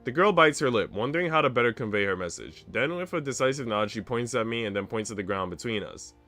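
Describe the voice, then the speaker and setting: A man's voice: medium pitch, expressive, fast, sharp tone, faint music behind. A man streaming a visual novel, reading its narration aloud expressively with casual commentary.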